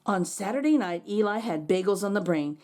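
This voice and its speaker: A woman reading a children's storybook aloud, expressively and dramatically, with drawn-out words. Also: mid-range voice, expressive, darkish tone. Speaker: children's read-aloud host. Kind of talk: expressive storybook narration for kids.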